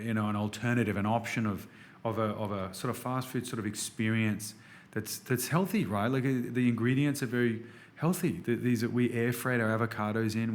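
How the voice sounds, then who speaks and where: A man in his thirties from Australia with an Australian accent, a podcast guest chatting casually and enthusiastically, full of restarts and fillers. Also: fairly low pitch. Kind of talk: casual interview chat.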